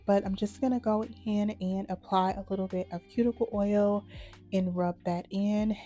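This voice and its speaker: Woman host, a little hoarse, warm mellow tone, calm how-to delivery, soft music underneath. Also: medium pitch, normal speed. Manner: relaxed, matter-of-fact instructions. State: slightly hoarse from a cold. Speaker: nail art channel host. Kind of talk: casual step-by-step tutorial narration.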